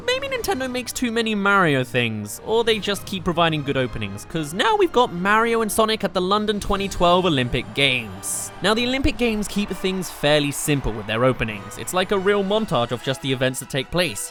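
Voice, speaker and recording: A man narrating an enthusiastic scripted video game countdown in a gushing, informal way, as a reviewer. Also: fairly high pitch. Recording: loud music behind the voice. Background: game soundtrack music.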